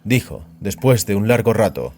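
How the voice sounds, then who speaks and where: A man's voice: fairly low pitch, sing-song, moderate pace, a little mellow. A man narrating scripted audio fiction as a storyteller.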